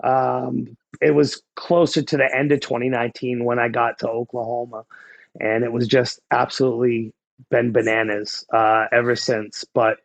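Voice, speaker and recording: A man's voice: medium pitch, flat delivery, normal speed, vocal fry. A man in his forties with a Boston accent, telling his story in a casual, candid, conversational way. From New England, small-town New Hampshire near the Massachusetts border. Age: 40s. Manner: candid, blunt. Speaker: guest, life coach.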